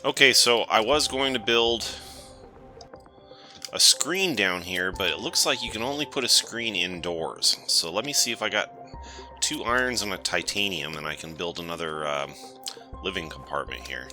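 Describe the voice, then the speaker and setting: A man with a cold gives relaxed let's-play commentary, thinking aloud as he explores. His voice sits very low yet sounds strikingly bright and forceful. Soft game music plays underneath. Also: moderate pace. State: has a cold. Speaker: gaming channel host.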